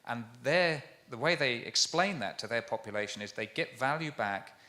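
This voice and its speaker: A man's voice: mid-range voice, expressive, moderate pace, bright, forceful tone. A man answering audience questions on a conference panel, earnest, with frequent restarts. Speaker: university panelist.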